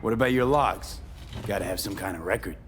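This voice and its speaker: An actor voicing a tough, determined street mercenary in a video game, blunt and terse. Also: male voice, lowish voice, fairly quick, slight background noise, natural voice. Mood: probing. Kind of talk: video game character acting.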